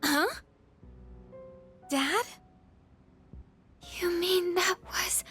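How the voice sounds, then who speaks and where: A woman's voice: very high voice, fairly even intonation, drawn-out words, a bit bright, soft background music, exaggerated voice. An American woman voicing an emotional, bitter, grieving teenage schoolgirl in a video game. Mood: shocked, distressed. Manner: emotional, vulnerable, confiding. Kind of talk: English video game voice acting.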